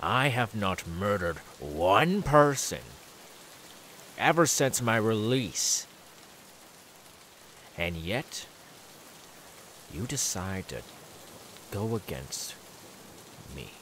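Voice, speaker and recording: Man acting a slow, menacing killer, wildly swinging pitch. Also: sing-song, a bit bright, a little hiss or hum, exaggerated voice. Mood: angry, threatening. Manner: slow, taunting, drawn-out repeated words. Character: menacing male killer, taunting, simmering with anger. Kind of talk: ASMR audio roleplay.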